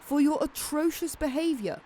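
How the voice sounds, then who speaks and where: A woman's voice: high voice, lively intonation, normal speed, noisy background. A woman playing a strained, apologetic but firm stepmother in a horror radio drama. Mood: stern, frustrated.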